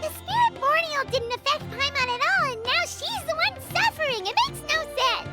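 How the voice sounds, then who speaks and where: A woman voicing a small, excitable fairy companion in a video game who talks about herself in the third person. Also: extremely high pitch, moderate pace. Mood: indignant. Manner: refers to herself in the third person, exclaims often. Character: tiny floating fairy companion, excitable and chatty. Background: soft game music.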